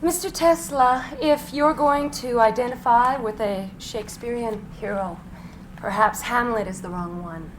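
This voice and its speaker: A woman's voice: on the high side, natural voice, rough recording. An actress playing a poised, teasing society woman in a script reading, with wry, formal delivery. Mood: dry, disappointed. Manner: wry, deliberate, formal diction. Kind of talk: live screenplay reading. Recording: live room recording with faint noise.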